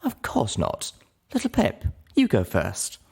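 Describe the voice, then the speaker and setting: Man putting on a haughty, animated lady pony. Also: fairly low pitch, takes it slowly, vocal fry, slightly rough, pitched above the performer's own voice, exaggerated voice. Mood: dry, uneasy.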